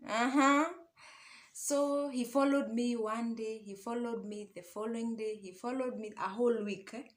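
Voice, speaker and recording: A woman's voice: on the high side, fairly even intonation, normal speed. A young woman with a Kenyan accent telling a personal story casually and conversationally, mixing English and Swahili and often checking in with little tag questions. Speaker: online storyteller and love coach.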